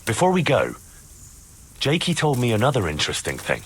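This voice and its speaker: A man's voice: medium pitch, wildly swooping pitch, a little fast, a little hiss or hum. An actor playing a blunt, wary young man with a dryly sardonic manner in a video game. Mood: firm, wary. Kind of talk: acted video game cutscene dialogue.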